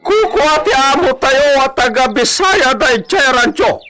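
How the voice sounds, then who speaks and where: A sly and menacing bandit chief, drawls commands in an extremely high voice. He gloats and cackles in radio serial style, slow and deliberate. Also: expressive, bright, forceful tone, a little hiss or hum, full character voice. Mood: commanding. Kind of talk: radio drama acting.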